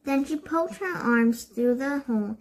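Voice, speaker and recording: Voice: high voice, animated, measured pace. A child reading a storybook aloud, word by word, with stumbles and self-corrections.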